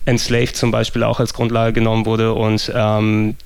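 Gravelly German man in podcast chat. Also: on the low side, monotone, moderate pace, a little mellow, no echo. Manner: rambling, many fillers and restarts. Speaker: podcast host. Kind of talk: casual gaming podcast chat.

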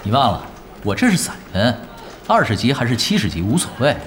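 A man's voice: mid-range voice, very animated, fairly quick, fairly bright tone, slight background noise. An actor playing a nonchalant, teasing young male gamer in an audio drama, casual and sly. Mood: cheeky. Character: laid-back, cheeky young male gamer, sly and unbothered. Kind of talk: Chinese audio drama acting.

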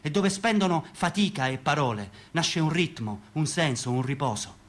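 A man's voice: fairly high pitch, lively intonation, normal speed, natural voice. A man plays a domineering boss. He is mocking and lordly, delivered as theatrical radio drama. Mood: musing, lofty. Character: mocking, domineering man lording it over workers. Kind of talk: stage-style radio theatre acting.

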